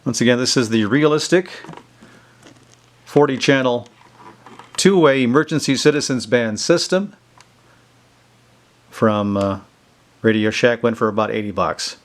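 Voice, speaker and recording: A man's voice: medium pitch, a little slow, pauses often. Male video host, mid-range voice, informal and folksy, walking viewers through a product demo. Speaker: video host showing vintage electronics. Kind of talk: casual product demonstration. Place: indoors in a basement.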